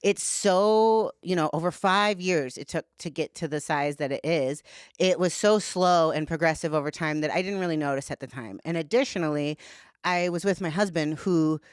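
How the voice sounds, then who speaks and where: A woman's voice: low-pitched, normal speed, clean tone, no echo, clean studio sound. A woman guest on a podcast, speaking frankly and casually, swearing freely and filling her talk with "like". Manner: blunt, profane, talks with lots of "like". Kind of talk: candid casual conversation.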